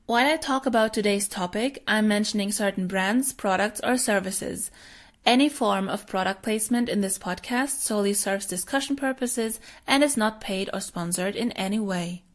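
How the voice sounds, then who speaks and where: A woman's voice: medium pitch, moderate pace. A young adult woman hosting a solo podcast, a native German speaker talking in a friendly, explanatory way. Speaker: podcast host.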